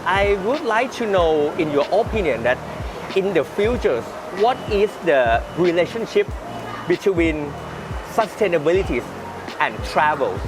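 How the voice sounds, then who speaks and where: A man with a Thai accent hosting an interview, phrasing his English questions in a halting, roundabout second-language way. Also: on the high side, big pitch swings, low-quality recording. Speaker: host interviewing a guest. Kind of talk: conversational interview. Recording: music under the voice. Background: background music.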